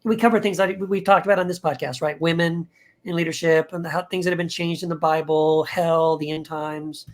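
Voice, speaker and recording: Relaxed man chatting as podcast guest. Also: a little high, a little fast. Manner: relaxed, rambling, easygoing. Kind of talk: casual interview chat.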